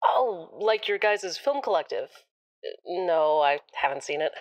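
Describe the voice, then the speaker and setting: A woman playing a casual young acquaintance on a phone call, talking in a halting, hesitant way. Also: on the low side, animated, no room sound. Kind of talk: naturalistic audio drama phone conversation.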